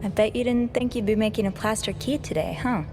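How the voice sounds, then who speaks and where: An actress playing an earnest, curious young amateur sleuth in a video game, speaking in a hesitant, thoughtful, understated way. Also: female voice, a little high, brisk, background noise, lightly acted. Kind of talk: naturalistic video game acting.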